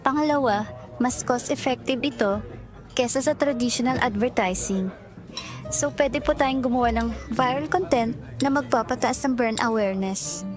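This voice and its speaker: A high-pitched young Filipino office worker played by a woman over clear background music. She is eager and deferential, with a flustered, girlish streak of secret affection, in the manner of a romantic audio drama. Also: normal speed, lightly acted. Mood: earnest, professional. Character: eager young female marketing employee, secretly smitten with her boss. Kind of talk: romantic audio drama acting, Tagalog-English mix.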